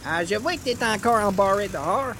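Actor as a high-pitched, lively anglophone guy. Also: fairly quick, vocal fry, a little hiss or hum, exaggerated voice. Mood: casual explaining. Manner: mixes English phrases into casual French. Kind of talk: comedy radio play acting.

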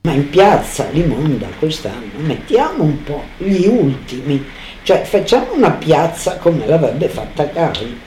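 A woman's voice: sits low, big pitch swings, measured pace, dark tone. A woman speaking informally in a roomy, echoing recording. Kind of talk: informal spoken remarks.

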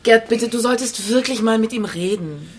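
An actress playing a secretive, long-suffering banker's wife in a radio crime drama, guarded and pointed, with a slightly husky voice. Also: female voice, on the high side, normal speed, a little hiss or hum, close to an everyday voice. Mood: weary, defensive.

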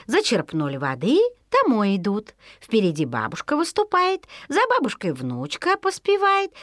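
High, very lively woman storyteller. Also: dry recording, clean studio sound. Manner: singsong folksy storytelling with drawn-out repeated words. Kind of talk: expressive scripted narration of a children's folk tale.

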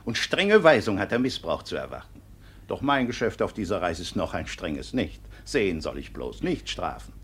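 An actor playing a courteous but exacting court inspector in a 1950s German radio play, with measured, authoritative verse delivery. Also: male voice, deep voice, sing-song, a bit bright, slight background noise. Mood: formal, courteous. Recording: vintage 1951 radio recording.

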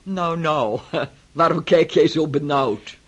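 An actor voicing a bossy, chatty elderly aunt in a radio drama, commanding and teasing with a husky voice. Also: male voice, on the high side, slow pace, a bit bright, slight background noise. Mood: gossipy.

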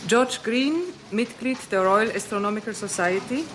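A woman's voice: medium pitch, moderate pace, natural voice. An actress as a formal female news reader. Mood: factual. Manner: formal, factual. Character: female news reader delivering press dispatches. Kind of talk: 1960s radio drama news reading. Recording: faint background noise.

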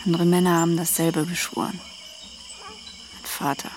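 A woman's voice: lowish voice, little pitch movement, moderate pace, lightly acted. An actress playing an anguished, distrustful young woman in love, insistent and intense, in a radio drama. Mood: wary, doubting. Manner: insistent, questioning. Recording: faint background noise.

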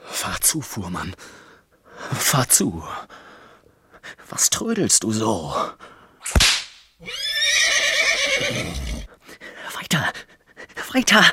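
An actor playing a restless, wordy gentleman writer on his travels in a literary radio play. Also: fairly low pitch, wildly swooping pitch, takes it slowly, slight background noise, full character voice. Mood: impatient.

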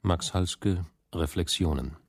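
Casting: sober scene announcer for radio play; low register with gravelly fry. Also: male voice, slow, heavily acted. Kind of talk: scripted radio drama announcements.

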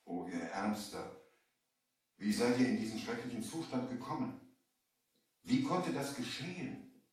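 An actor playing a merchant who narrates his sea adventure in measured storybook style, in a 1970s German audio play. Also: medium pitch, big pitch swings, a little mellow. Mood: pitying. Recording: strong echo, distant from the mic.

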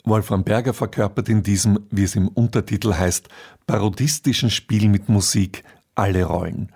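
A man's voice: fairly low pitch, fairly even intonation, normal speed, creaky, darkish tone, breathy edge. A man presenting on radio, reading a scripted introduction to a programme.

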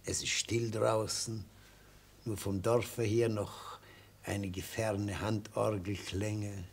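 An actor playing a fussy, reassuring old defence lawyer in a radio play, avuncular and deliberate, with a husky, creaky voice. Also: male voice, fairly low pitch, level tone, a little hiss or hum. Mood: cautioning, hushed. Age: older. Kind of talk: German radio play acting.

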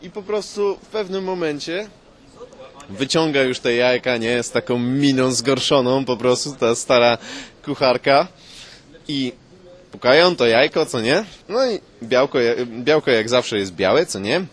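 A man's voice: mid-range voice, lively intonation, a little slow. A man improvising a comic history for friends in colloquial, sweary, mock-scholarly talk.

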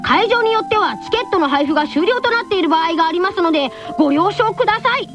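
Little anime schoolboy, very high voice, bouncy intonation, earnest, cheerful radio announcer. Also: female voice, expressive, fast, music in the background. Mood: chirpy, earnest. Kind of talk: in-character scripted radio announcement.

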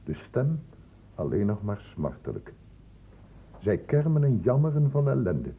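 An actor playing a stern, insistent doctor in a radio play, blunt and urgent, in a lecturing way. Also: male voice, medium pitch, very animated, a little slow, husky, full character voice. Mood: grave, reciting. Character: stern, insistent male doctor questioning young people. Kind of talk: Dutch radio play acting. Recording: muffled old radio play recording.

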